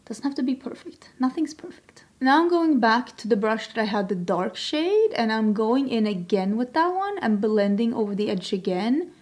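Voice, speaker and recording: A woman's voice: a little high, very animated, normal speed, hardly any pauses, a little mellow. Chatty woman doing makeup. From Sweden. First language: Swedish. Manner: chatty, self-deprecating, talks while working. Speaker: beauty vlogger. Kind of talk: makeup tutorial chat.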